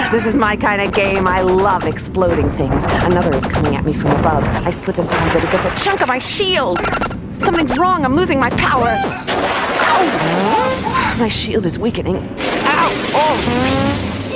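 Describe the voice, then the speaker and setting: A hard-boiled female galactic detective in a radio drama. She is sardonic and clipped, with a lively, swinging delivery in an average register, and loud music plays behind her. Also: mid-range voice, measured pace, low-quality recording. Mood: alarmed. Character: tough, wry female space detective. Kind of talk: radio play acting with first-person narration.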